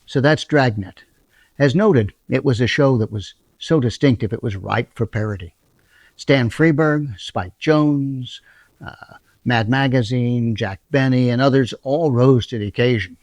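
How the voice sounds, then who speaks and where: A man's voice: mid-range voice, a little slow, a little mellow, dry recording. Guy hosting, easygoing intro talk, no room sound at all. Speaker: host. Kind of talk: casual presentation.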